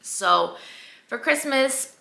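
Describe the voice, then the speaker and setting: A woman's voice: high-pitched, lively intonation, drawn-out words, gravelly, sharp tone. Chatty young woman in an echoey room. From Colombia. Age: 20s. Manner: chatty and candid, repeats words, drops to whispers for asides. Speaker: vlogger. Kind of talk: casual storytime chat to camera.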